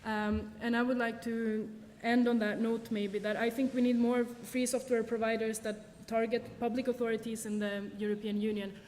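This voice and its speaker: A woman's voice: a little high, level tone, normal speed, hardly any pauses, a little mellow. A woman with a slightly raised voice fields questions after a conference talk, hedging and restarting as she thinks things through. Her words carry a long reverb from a large lecture hall. A faint hiss of noise sits underneath. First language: Swedish. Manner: thinks aloud with frequent ums and restarts. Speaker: conference speaker.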